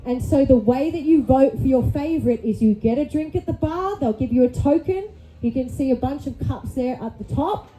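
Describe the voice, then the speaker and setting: A high, chatty woman emcees a live comedy night into a handheld mic on a club stage. Her tone is soft and mellow, and she keeps tagging her lines with "okay?" as she speaks to the room. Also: expressive, moderate pace, a little hiss or hum, rough recording. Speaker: host. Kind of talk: upbeat live emcee patter.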